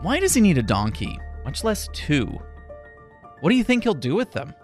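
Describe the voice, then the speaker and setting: An actor playing a doubtful, questioning disciple in a conversational audio drama style. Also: male voice, on the high side, huge pitch swings, normal speed, faint music behind. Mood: puzzled, doubtful. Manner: conversational, puzzled.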